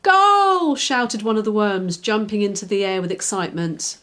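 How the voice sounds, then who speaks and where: A woman narrating a children's storybook from a script, expressive and clearly phrased for young listeners. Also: medium pitch, moderate pace. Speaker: children's author, reader.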